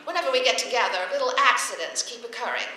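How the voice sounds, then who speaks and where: Bright, wry radio-comedy schoolteacher. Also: female voice, on the high side, fast. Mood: rueful. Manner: dry, deadpan wisecracks with crisp timing. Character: wry, quick-witted single schoolteacher, long-suffering and sardonic. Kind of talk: vintage radio comedy acting before a laughing audience. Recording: echoey old radio stage recording, faint noise.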